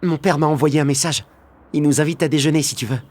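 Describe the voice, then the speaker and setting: Gentle teenage boy, played by an actor, faint noise. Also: on the high side, fairly even intonation, fast talker, close to an everyday voice. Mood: concerned. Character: gentle, caring teenage boy, loyal friend.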